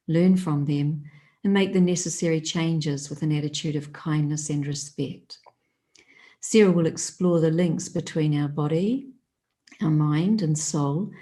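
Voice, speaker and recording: A woman host introducing a guest speaker and welcoming viewers to an online talk in a measured, welcoming manner. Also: deep voice, dark tone.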